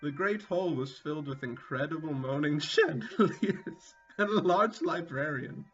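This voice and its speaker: A man's voice: a little high, a little slow, fairly bright tone. Dull-sounding man doing amused dramatic reading over music. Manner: amused, often breaking into laughter; mock-dramatic delivery with swearing asides. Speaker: reader. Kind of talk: comedic dramatic reading with casual asides.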